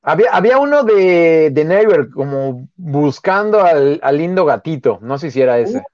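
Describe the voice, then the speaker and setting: A man with a Mexican accent co-hosting a live stream, chatting casually in a jokey, halting, conversational way on a lo-fi mic. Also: on the high side, very animated, dry recording. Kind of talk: casual chat.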